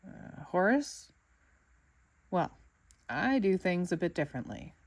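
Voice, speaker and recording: A woman's voice: fairly low pitch, sing-song, slow pace, exaggerated voice. Woman as a bored male substitute teacher. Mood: offhand.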